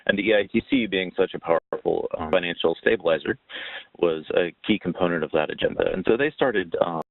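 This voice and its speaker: A man giving an informal explanatory presentation in a halting way, pausing mid-sentence, over a muffled phone-like line. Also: sits low, dry recording. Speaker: webinar presenter.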